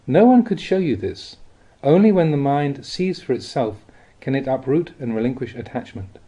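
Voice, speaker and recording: Mellow man reading with lively intonation. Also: mid-range voice. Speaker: reader of Buddhist teachings. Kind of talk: audiobook reading of a spiritual talk.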